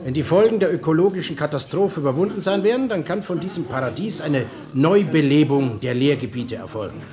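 A man as a high-pitched pompous tour guide. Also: lively intonation, fairly quick, a crowd in the background, natural voice. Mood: grandiose. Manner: grandiose, declamatory. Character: pompous showman tour guide at futuristic animal park. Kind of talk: German radio play acting.

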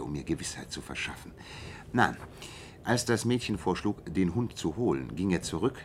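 An actor playing a keen, self-assured detective who recounts a case precisely and deliberately in a radio play. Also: male voice, low-pitched, slight background noise. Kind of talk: German radio play acting, narrative.

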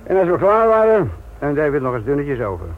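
An actor playing a gruff, sardonic senior police detective lecturing trainees in a radio play. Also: male voice, fairly high pitch, very animated, a little fast. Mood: sarcastic, stern. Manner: sarcastic, authoritative, lecturing. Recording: muffled, noisy old radio play recording.

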